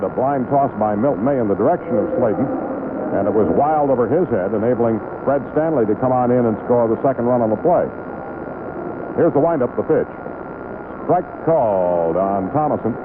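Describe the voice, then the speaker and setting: Medium-pitched man commentating on tinny baseball radio. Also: lively intonation, normal speed. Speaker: baseball play-by-play radio announcer. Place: ballpark broadcast booth. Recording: noisy narrowband 1970s AM radio broadcast.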